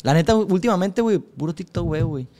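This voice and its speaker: Fast-talking young man, dark tone. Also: high voice, animated. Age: 20s. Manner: slangy, says "güey" constantly, laughs often. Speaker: podcast guest. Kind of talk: casual chat.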